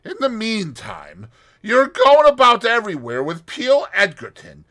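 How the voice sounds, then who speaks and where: A man voicing a calm, scornful scheming society woman who speaks slowly and in a measured way. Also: high-pitched, voice pushed up, exaggerated voice.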